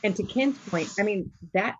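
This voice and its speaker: Measured woman panelist, muffled audio. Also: medium pitch, slight background noise. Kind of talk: panel discussion. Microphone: video call. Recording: muffled video call audio.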